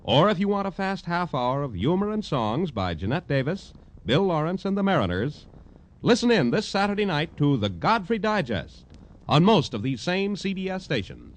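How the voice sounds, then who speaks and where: Man, 1950s radio announcer, fairly high voice, lively swooping intonation, polished promotional read. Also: moderate pace.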